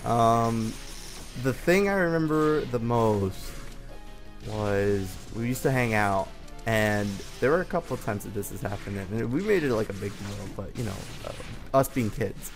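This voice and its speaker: A man's voice: lowish voice, lively intonation, a little slow. A young man telling a personal story in a casual, rambling, hesitant conversational way. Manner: informal, hesitant, conversational delivery. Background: background music from a game.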